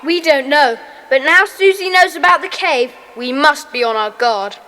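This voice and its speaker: A woman's voice: sits high, moderate pace, bright, forceful tone, big-room sound. A woman playing a confident schoolboy who leads a children's club in an audio drama. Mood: concerned.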